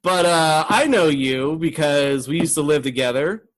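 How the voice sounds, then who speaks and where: A man hosting a casual comedic podcast, chatting in a joking, animated and profane way. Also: a little high, a little slow, rough recording. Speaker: podcast host.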